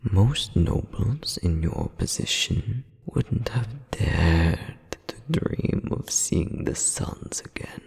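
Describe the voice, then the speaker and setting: Man playing a whispering, gravelly, cruel demon noble. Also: on the low side, lively intonation, a little mellow, heavily acted. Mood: cold contempt. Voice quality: breathy, gravelly half-whisper. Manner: slow, haughty, formal, menacing delivery. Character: cold, domineering noble demon older brother, cruel, disdainful. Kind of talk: scripted ASMR roleplay audio drama. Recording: long cavernous reverb.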